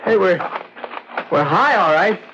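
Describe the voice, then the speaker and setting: An actor playing an excitable stranded adventurer in an old-time radio drama, repeating himself as he goes. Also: sits high, a little slow. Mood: curious. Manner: excitable, repeats his words. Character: stranded adventurer, curious and excitable, then terrified. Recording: dull old radio recording, faint noise.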